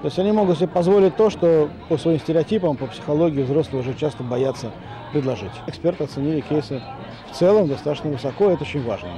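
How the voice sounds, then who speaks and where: A man in his forties giving an interview outdoors in a park, quick and thoughtful. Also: on the high side, animated, a crowd in the background. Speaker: interviewed expert. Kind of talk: interview answer.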